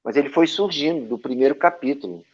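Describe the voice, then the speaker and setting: A man from Brazil, an actor and director, telling stories from his career as an interview guest, with a lively anecdotal manner and frequent tag questions. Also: mid-range voice, brisk, husky. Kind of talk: storytelling interview answers. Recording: dull lo-fi audio.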